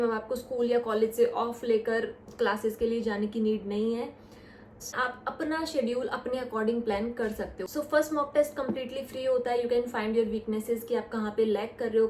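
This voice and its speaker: A woman's voice: on the high side, a little mellow. Young Indian woman teaching, echoey room. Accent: Indian English. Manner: explains step by step, slows down on English phrases. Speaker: test-prep YouTuber. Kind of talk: casual tutorial, mixing Hindi and English. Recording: echoey room with faint noise.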